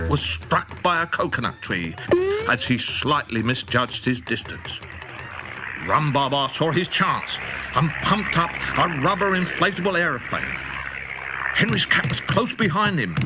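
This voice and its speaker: Lively man reading a children's story. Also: a little high, a little slow, heavy background noise. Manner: animated, playful storytelling. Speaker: audiobook narrator. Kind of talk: scripted children's storybook narration. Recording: dull cassette tape with music.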